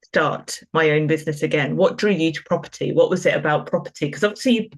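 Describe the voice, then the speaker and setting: A woman's voice: low voice, quick pace. Chatty woman interviewing in conversation. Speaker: podcast host and interviewer.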